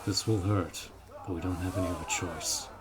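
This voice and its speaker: A man's voice: low voice, fairly even intonation, very noisy, close to an everyday voice. A man playing a stern, honorable orc warrior commander in a fantasy audio roleplay, speaking in a formal, commanding way. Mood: urgent, gruff. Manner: formal, commanding, measured delivery.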